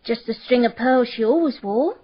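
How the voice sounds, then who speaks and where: An actress playing a respectful maid in a radio drama, giving precise, matter-of-fact answers. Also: female voice, fairly high pitch, normal speed, slightly rough. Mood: deferential. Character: deferential female maid answering a detective's questions. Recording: dull, muffled radio drama recording.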